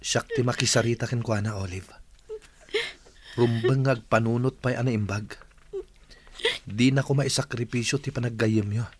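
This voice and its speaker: A man plays a protective, concerned young friend in an Ilocano radio drama. His voice is mid-pitched, with a Filipino accent. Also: steady tone, normal speed, long pauses, natural voice.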